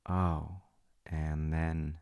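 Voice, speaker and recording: A man voicing a caring, patient father in an audio roleplay. He talks softly and reassuringly, sometimes dropping to a whisper. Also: very deep voice, level tone, dry recording, studio quality, exaggerated voice. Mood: gentle. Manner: soft, reassuring, unhurried, drops to whispers at times. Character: caring middle-aged dad, gentle and patient. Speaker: voice actor.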